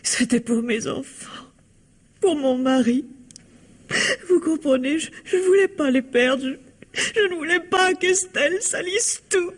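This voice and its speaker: A woman's voice: high voice, animated, unhurried. An actress playing an anguished married mother cornered by a murder accusation in an old French radio drama, defensive and emotional. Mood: desperate, pleading.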